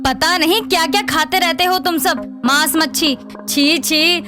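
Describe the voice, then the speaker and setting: A woman playing a stern, scornful middle-aged mother-in-law who scolds sharply in an animated moral story. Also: extremely high pitch, measured pace, music in the background. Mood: scornful, angry.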